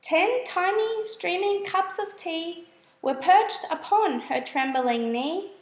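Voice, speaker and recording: A woman reading children's verse aloud as a storyteller, in a measured, expressive way. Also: very high voice, echoey room, a little hiss or hum. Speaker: storybook reader. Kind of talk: scripted children's verse narration.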